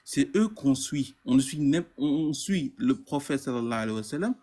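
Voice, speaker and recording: A man's voice: medium pitch, animated, a little fast, dark tone, husky. A man caller in a heated debate, stammering and repeating words as he insists on his point.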